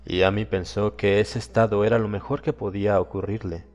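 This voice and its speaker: A man with a low voice reads a horror story as a dramatic audiobook narrator. His delivery is solemn and suspenseful, with deliberate phrasing. Long reverb hangs on the voice, and music plays behind it. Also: flat delivery, a little mellow.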